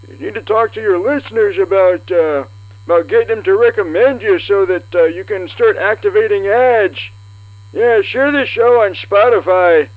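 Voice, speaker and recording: Man as high-voiced rambling grandpa on tinny line. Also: moderate pace, no echo. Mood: befuddled, chatty. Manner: hesitant, rambling, folksy. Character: meddling, chatty old grandpa from a retirement home. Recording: tinny phone-like line with hum.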